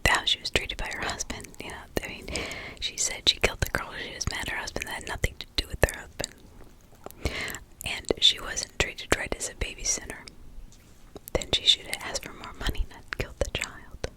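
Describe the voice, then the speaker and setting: A woman who is a parent, whispering close to the mic as she retells a crime story, soft, halting and emotional. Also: rough recording. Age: adult parent. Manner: halting, with many restarts and pauses. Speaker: true crime ASMR storyteller.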